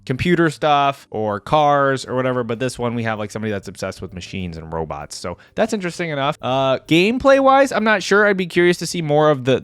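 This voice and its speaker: A man's voice: mid-range voice, smooth, no echo. A man hosting a reaction video, giving casual, musing, rambling commentary. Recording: trailer music behind the voice.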